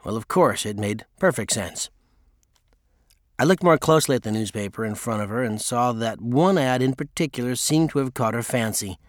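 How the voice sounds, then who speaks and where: Male narrator for memoir audiobook, mid-pitched, scripted read, dry recording. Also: expressive, normal speed, no room sound.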